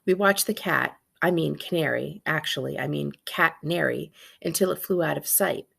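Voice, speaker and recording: A woman's voice: low voice, normal speed, vocal fry, a bit bright, slightly rough. A woman, a librarian reading a children's book aloud with casual asides.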